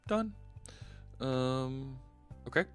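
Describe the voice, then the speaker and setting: A man's voice: mid-range voice, animated, very slow, clear, resonant tone, soft background music. A man in his forties with a Philadelphia accent, hosting a casual coding live stream through a headset and thinking aloud with lots of fillers. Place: home studio, indoors.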